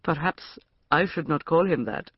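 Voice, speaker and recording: A woman's voice: deep voice, normal speed, husky, no room sound, dull audio. Woman voicing a shy polite young man. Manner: hesitant, polite.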